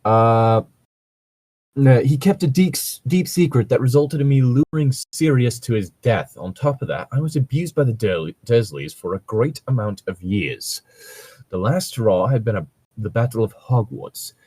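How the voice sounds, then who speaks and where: Sarcastic man with very animated delivery. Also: mid-range voice, normal speed, husky, dry recording. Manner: sarcastic, exasperated, sweary. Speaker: host of a fan fiction reading show. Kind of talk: casual comedic reading with mocking commentary.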